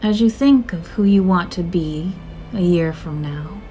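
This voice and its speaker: A woman narrating a scripted guided meditation in a soothing, measured, gentle manner with drawn-out phrases. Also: on the low side, rough recording. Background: soft music.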